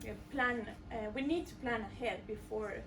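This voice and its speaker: A woman with a Mexican accent giving a pitch presentation hesitantly, with frequent fillers. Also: normal speed, over music. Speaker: student presenter. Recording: voice from far off the mic.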